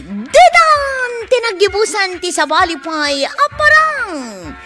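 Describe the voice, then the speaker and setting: Moralizing village woman, radio drama announcer delivery, high-pitched, very lively intonation, emphatic. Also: slow pace, heavily acted. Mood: announcing. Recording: music bed under the voice.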